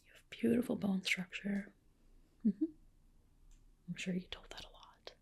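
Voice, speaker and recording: A woman whispering gently and reassuringly in a breathy voice, playing a spa clinician in an ASMR roleplay. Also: medium pitch, unhurried.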